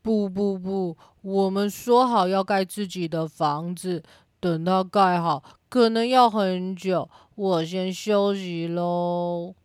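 A woman's voice: on the low side, steady tone, slow pace, a little mellow, no room sound, clean studio sound. A woman voicing a lazy, stubborn pig brother in a children's radio play, with a drawling, dismissive manner. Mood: drowsy, stubborn.

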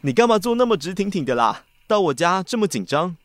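A man's voice: high-pitched, very animated, a little fast. A man playing a cheerful, persistent teenage schoolboy with a crush in a radio drama, speaking with a Taiwanese accent in a playful, earnest way. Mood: playful, inviting. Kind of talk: Mandarin boys' love radio drama acting.

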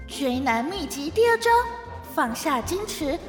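An actress playing an earnest, excitable young friend who coaches romance in a comedy radio drama, with emphatic delivery. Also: female voice, sits high, a little slow. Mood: instructive. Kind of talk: modern urban comedy radio drama acting. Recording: roomy reverb, music behind.

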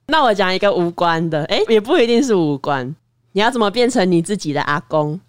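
Female podcast co-host, Taiwanese Mandarin, smooth clean voice, close dry mic, casual chat. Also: medium pitch, lively intonation, a little fast, dry recording.

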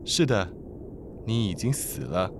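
A man's voice: fairly low pitch, big pitch swings, unhurried, noisy background. A man playing a teasing death messenger. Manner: playful, mock-kind. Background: music.

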